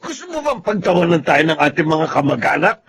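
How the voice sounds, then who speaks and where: A strict, status-minded Filipino father in a radio drama. His voice sits a little high, over faint noise. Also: sing-song, fairly quick, a bit bright. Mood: stern. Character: stern, class-conscious father lecturing his grown son.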